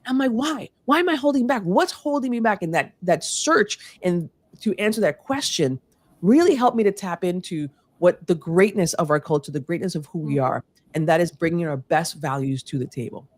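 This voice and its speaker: A woman's voice: fairly low pitch, expressive, normal speed, no room sound. A woman from Puerto Rico whose first language is Spanish, giving earnest interview answers full of restarts and "you know", with Spanish phrases mixed in. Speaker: guest speaker.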